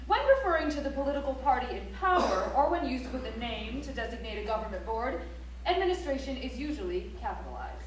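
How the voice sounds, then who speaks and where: A woman's voice: a little high, expressive, fast, background noise, close to an everyday voice. An actress playing a prim, instructive woman reciting style manual rules in a stage play in an auditorium. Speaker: stage actor. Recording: echoey auditorium from far off, background ambience.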